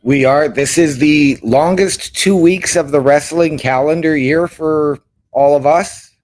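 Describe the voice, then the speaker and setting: A man co-hosting a wrestling podcast, talking in a relaxed, chatty way. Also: fairly high pitch, measured pace. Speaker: podcast co-host. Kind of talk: casual podcast chat.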